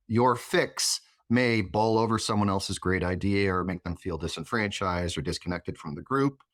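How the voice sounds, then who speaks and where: A man speaking as an interview guest in a reflective, explanatory way, phrasing his thoughts in a measured manner. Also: low voice, fairly bright tone, no room sound, studio quality. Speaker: interview guest, chief of staff.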